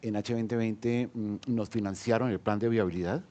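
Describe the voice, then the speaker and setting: A man's voice: lowish voice, steady tone, normal speed, dark tone, highs cut off. A man with a Colombian accent, an entrepreneur speaking on a panel, hesitant with many fillers and restarts. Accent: Colombian Spanish. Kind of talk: panel discussion.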